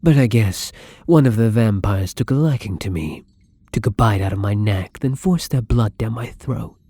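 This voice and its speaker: A man playing a newly turned young vampire in an intimate ASMR roleplay, apologetic and hungry, speaking softly and hesitantly with a husky, breathy voice that often drops to a whisper. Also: lowish voice, moderate pace, dry recording. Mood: subdued, wistful.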